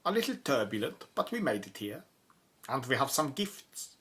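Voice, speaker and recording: A courteous Egyptian envoy in an audio drama, measured and ceremonious. He is smoothly formal and polite, with a medium-pitched voice. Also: sing-song, a bit bright.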